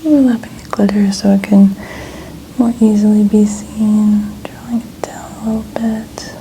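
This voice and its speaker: A woman narrating a personal routine in a gentle, breathy ASMR whisper, softly describing each step without hurry. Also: mid-range voice, level tone, normal speed, noisy background. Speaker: ASMR content creator.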